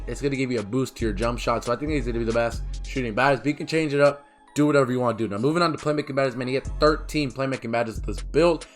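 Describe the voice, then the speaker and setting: A man talking through a video game build at a rapid clip, his voice hoarse and rough as it comes back from being lost. He sounds eager and casual, tossing in "man" as he gives his personal picks. His pitch sits in an ordinary middle range. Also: fairly bright tone. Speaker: gaming YouTuber. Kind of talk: fast casual game walkthrough commentary.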